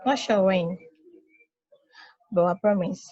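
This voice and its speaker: Reassuring probe companion, female actor, warm relaxed tone, medium pitch, dull home recording, stage play reading. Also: slow pace, lightly acted. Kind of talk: amateur play table read.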